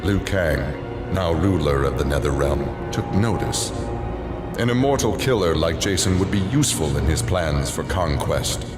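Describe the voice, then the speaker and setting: A man delivering scripted dramatic narration for video game story endings in a solemn, measured way. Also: extremely low pitch, lively intonation. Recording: echoing voice under loud music. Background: loud music.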